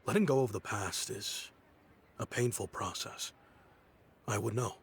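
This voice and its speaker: A man's voice: lowish voice, a little slow, a little hiss or hum. A man playing a self-made young merchant in a video game, genial, proud and reflective in an easygoing way. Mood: wistful.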